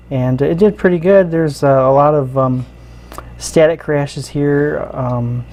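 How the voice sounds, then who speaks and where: A man hosting a casual, unscripted hobby review, explaining features in a halting, easygoing way. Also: medium pitch, mellow. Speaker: radio hobbyist host reviewing gear. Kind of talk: casual unscripted product walkthrough.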